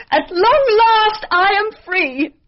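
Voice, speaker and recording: An actress playing a bitter, overwrought young British aristocrat's daughter in a radio murder mystery, with intense, theatrical delivery. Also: female voice, extremely high pitch, lively intonation, extremely slow, highs cut off, heavily acted. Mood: bitter, defiant. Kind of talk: radio drama acting.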